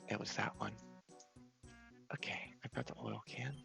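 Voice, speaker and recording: A man giving soft, whispery ASMR commentary over a game, casually thinking aloud in a low-key way. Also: flat delivery, moderate pace, music in the background. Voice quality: soft, breathy, often whispery. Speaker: gaming video host.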